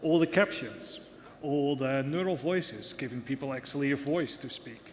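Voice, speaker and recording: A man's voice: medium pitch, normal speed, slight background noise. A Dutch-accented man answering on a conference panel, earnest and repeating words as he starts. His voice carries a long hall reverb with faint ambience behind. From Netherlands. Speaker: panel speaker. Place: large hall, conference stage.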